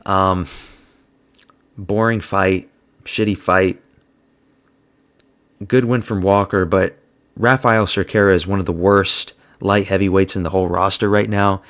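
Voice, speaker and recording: A man's voice: low-pitched, steady tone, a little slow, long pauses, a bit bright. A man giving a blunt, crude, slang-heavy solo review of fight results. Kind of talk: casual solo commentary.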